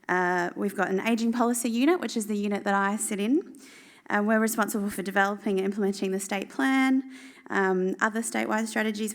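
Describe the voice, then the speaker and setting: A woman presents to a conference audience, speaking with a croaky, gravelly voice. She is steady and informative, pausing on fillers as she works through her points. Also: mid-range voice. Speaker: government policy officer presenting.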